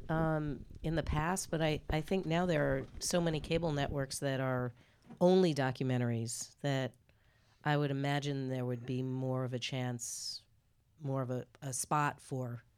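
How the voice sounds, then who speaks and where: A woman's voice: deep voice, measured pace, darkish tone, clear, resonant tone. A woman working as a television editor, speaking on a panel in a frank, conversational way. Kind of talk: panel discussion.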